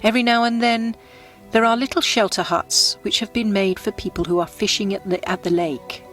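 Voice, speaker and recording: A woman narrates a scripted guided meditation in a gentle, unhurried, descriptive storytelling manner. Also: mid-range voice, steady tone. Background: background music.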